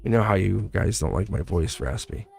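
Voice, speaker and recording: A man's voice: low voice, lively intonation, moderate pace, gravelly, darkish tone. A young man doing a casual, upbeat live tarot reading, talking to viewers in a chatty way and laughing often. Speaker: tarot reader.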